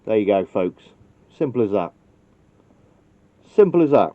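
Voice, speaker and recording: A man's voice: fairly low pitch, very animated, a little slow, heavy background noise. A man casually demonstrating how to wire a radio, thinking aloud and joking at his own expense. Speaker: CB radio technician. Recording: dull lo-fi recording.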